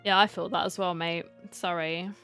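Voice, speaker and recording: A woman's voice: on the low side, steady tone, moderate pace. Woman casually commenting over a game. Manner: informal, musing aloud. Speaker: gaming video host. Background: game music.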